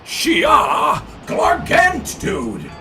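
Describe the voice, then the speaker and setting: Goofy alien surfer, super high n bright, gushy stretched-out slang, audio fiction. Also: male voice, wildly swooping pitch, extremely slow, vocal fry, faint music behind, exaggerated voice. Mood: gushing, excited. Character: goofy, gushing alien surfer dude, big slug in disguise. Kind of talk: audio fiction character acting.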